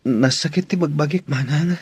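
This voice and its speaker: A man's voice: fairly high pitch, moderate pace, darkish tone, breathy edge, no echo. A man acting in a radio drama as a subdued, deferential but determined young recruit. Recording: dull, dry, muffled audio.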